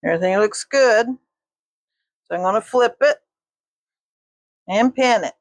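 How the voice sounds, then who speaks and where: A woman's voice: mid-range voice, unhurried, dry recording. An older woman teaching a quilting tutorial, chatting with her viewers while she sews. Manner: folksy, talks to viewers while sewing.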